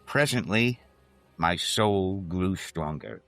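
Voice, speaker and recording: A man giving a measured, theatrical reading of Gothic poetry, speaking deliberately. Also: sits low, animated, a bit bright, faint music behind.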